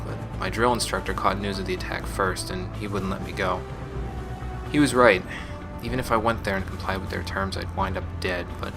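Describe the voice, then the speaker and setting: A man playing a resolute, guilt-burdened space marine, recording a measured, solemn confessional audio log. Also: sits low. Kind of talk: scripted sci-fi audio log monologue. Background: music.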